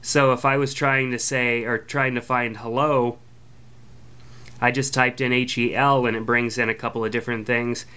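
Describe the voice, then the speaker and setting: A man hosts a casual app review podcast in a laid-back, friendly way. His voice is bright and smooth, and he fills pauses with ums and uhs. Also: mid-range voice. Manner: relaxed, filler-heavy, friendly. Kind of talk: casual product review voice-over.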